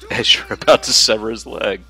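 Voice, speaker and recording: A man's voice: lowish voice, steady tone, fairly quick, crowd noise. A man chiming in with dry wisecracks during a video game commentary. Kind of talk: casual banter.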